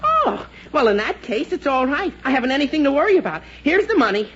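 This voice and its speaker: A man's voice: extremely high pitch, big pitch swings, fairly quick, fairly bright tone, heavily acted. A man playing a hapless, naive teenage boy in a 1940s radio comedy, stammering, pleading and exclaiming. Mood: relieved, pleased. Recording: muffled, noisy 1940s radio recording.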